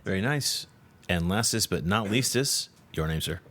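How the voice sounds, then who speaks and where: Deep-voiced man hosting a podcast. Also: animated, moderate pace, bright, forceful tone. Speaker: podcast host. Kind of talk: casual podcast interview. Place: hotel room. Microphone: shotgun movie mic.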